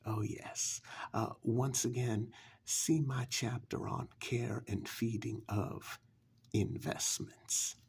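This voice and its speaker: A man playing a smug, sinister get-rich-quick pitchman in a soft, husky, halting ASMR parody infomercial. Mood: calm, sly menace. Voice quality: husky, breathy, gravelly. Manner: halting, smug, casually menacing, sweary.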